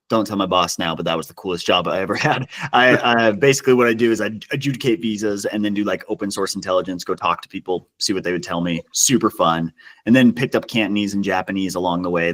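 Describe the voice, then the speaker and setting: Low-voiced man chatting in an interview. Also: a bit bright. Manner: self-deprecating, chuckles as he talks. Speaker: podcast guest, customer success executive. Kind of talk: casual interview chat.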